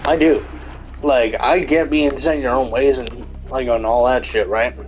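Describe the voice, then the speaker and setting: A man's voice: medium pitch, steady tone, moderate pace, faint music behind. A man in a casual, rambling commentary rant, full of fillers and restarts, swearing often, with a stuffy nose. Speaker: commentary YouTuber.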